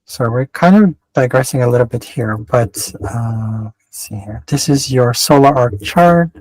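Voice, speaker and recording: A man's voice: mid-range voice, measured pace, darkish tone, dry recording, poor audio. A man with a mixed Canadian and West Coast American accent giving a casual astrology chart reading, rambling and often interrupting himself. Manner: rambling, self-interrupting, digressive.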